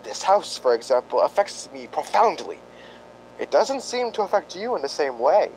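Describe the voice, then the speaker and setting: Male actor as a grave paranormal investigator for radio drama, formal and pedantic, fairly high-pitched with a swinging intonation, light rumble underneath. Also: very animated, normal speed, darkish tone, poor audio. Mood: solemn, earnest. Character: solemn, earnest male ghost-hunting doctor, psychically sensitive. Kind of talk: amateur radio drama acting.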